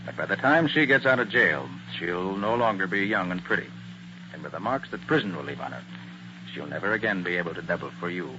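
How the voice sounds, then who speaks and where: An actor playing a confident, tough private detective in a 1940s radio drama, with an assured, commanding manner. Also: male voice, sits low, lively intonation, a little fast. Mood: wry, assured. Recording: muffled, hissy old radio recording.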